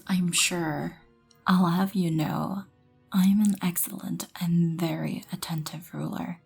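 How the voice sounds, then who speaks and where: Vampire queen, female actor, ASMR roleplay: slow, mellow, breathy near-whisper, haughty, poised and composed. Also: on the low side, heavily acted. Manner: poised, haughty, measured, soft-spoken. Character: regal, composed female vampire queen, proud and wryly merciful.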